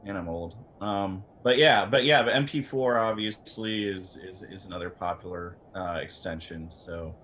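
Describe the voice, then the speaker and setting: Rambling low-voiced man giving technical advice. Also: moderate pace, hardly any pauses, fairly bright tone, slight background noise. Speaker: video equipment expert on a panel.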